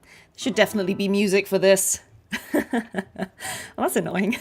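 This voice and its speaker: A woman's voice: mid-range voice, moderate pace, darkish tone. A woman game streamer chatting informally and musing aloud to her live viewers. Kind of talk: casual live stream chat.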